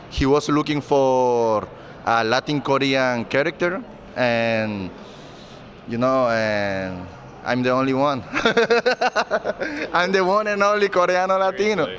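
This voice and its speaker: A man who grew up in the Canary Islands talks as an actor in a casual, playful interview. His voice sits at a middle pitch. Faint traffic hums behind him. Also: unhurried, fairly bright tone. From Canary Islands, Spain. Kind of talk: festival interview.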